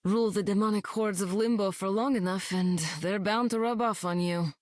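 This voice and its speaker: An actress playing a young, sardonic demon queen and war captain, deadpan and dry, in a video game. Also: mid-range voice, normal speed, no room sound, close to an everyday voice. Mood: cool, deadpan. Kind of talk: video game character acting.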